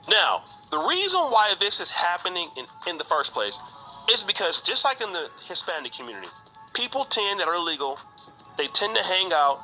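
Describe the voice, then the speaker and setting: A man's voice: a little high, sharp tone, poor audio. A man giving his opinion in an emphatic, lecturing way.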